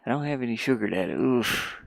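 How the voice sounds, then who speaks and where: A man's voice: mid-range voice, animated, fairly quick, vocal fry, no echo, rough recording. A man chatting casually and loosely while he works, mumbling asides to himself in an informal, rambling way. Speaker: streamer and hobby game developer. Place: inside a van.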